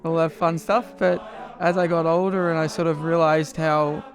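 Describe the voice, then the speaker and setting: A man's voice: on the high side, little pitch movement, normal speed, soft background music. A man in his late thirties, a choir member, speaking plainly and reflectively in an interview. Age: 30s.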